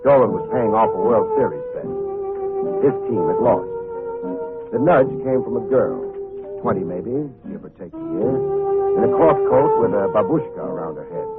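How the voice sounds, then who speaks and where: An actor playing a wry, streetwise private detective in a 1950s radio drama, wisecracking in clipped slang. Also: male voice, medium pitch, huge pitch swings, normal speed. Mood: wry narration. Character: wry, hard-boiled male private detective, streetwise and breezy. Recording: muffled tinny old radio recording, faint music.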